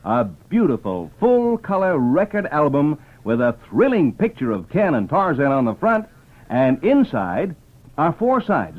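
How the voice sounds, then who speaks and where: A man announcing and pitching to children in 1950s radio style, with an emphatic, enthusiastic salesman delivery. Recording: muffled old radio transcription.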